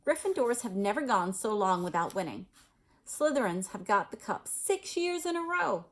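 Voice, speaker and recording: Storybook ghost, old gentleman, voiced by a woman, stiff, formal, touchy, swooping intonation. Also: medium pitch, big pitch swings, moderate pace. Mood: pleased, chatty. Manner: formal, prim.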